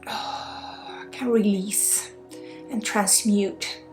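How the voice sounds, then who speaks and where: Creaky Swedish woman guiding ASMR healing. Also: mid-range voice, fairly even intonation. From Sweden. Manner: soft-spoken, often dropping into whispers, with pauses. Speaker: ASMR energy healer and spiritual guide. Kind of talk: ASMR guided energy healing. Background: music.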